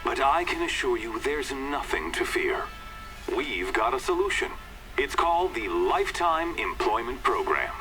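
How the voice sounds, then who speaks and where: A man's voice: medium pitch, lightly acted, low-quality recording. An actor playing a pompous, short-tempered corporate chairman in a video game, with a polished public-speaking manner. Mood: smoothly reassuring. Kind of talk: video game scripted address.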